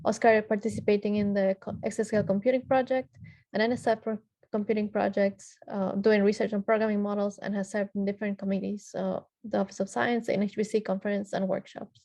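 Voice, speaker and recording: A woman's voice: medium pitch, level tone, fast, dark tone. A woman from Ecuador moderating a technical panel, reading out introductions with frequent filler sounds and restarts.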